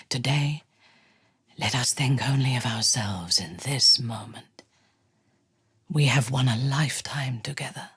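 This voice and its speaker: A woman playing a ruthless, bloodthirsty warrior commander in a video game, with a husky, breathy voice and haughty, formal, biting delivery. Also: sits low, fairly bright tone, dry recording, clean studio sound, full character voice. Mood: intimate, hushed. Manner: haughty, measured, formal diction with biting disdain. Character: ruthless, imperious female warrior and cult commander, bloodthirsty, darkly amused. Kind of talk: video game character dialogue.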